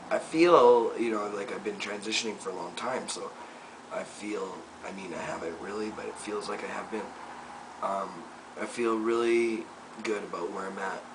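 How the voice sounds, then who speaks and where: A young man recording a personal video diary update, talking reflectively and softly with many pauses and fillers. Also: fairly low pitch. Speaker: vlogger. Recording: distant mic with noticeable room noise.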